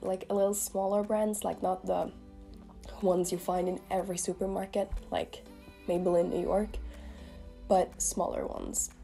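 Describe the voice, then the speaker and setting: A woman's voice: mid-range voice, warm tone. A young woman in her twenties, a beauty vlogger chatting casually to the camera while a little sick with a cold, rambling with lots of fillers. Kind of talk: casual makeup review to camera. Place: small room indoors.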